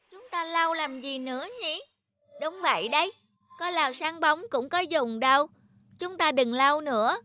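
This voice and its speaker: A high-pitched, earnest child angel trainee. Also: female voice. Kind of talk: children's cartoon dubbing. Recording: loud background music under the voice.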